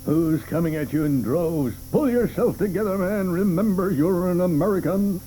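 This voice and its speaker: A man's voice: high-pitched, moderate pace, warm tone, a little hiss or hum, lightly acted, low-quality recording. An actor playing a pompous, short-tempered male president who barks orders, in a 1980s studio radio play. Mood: blustering. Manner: blustering, barking orders.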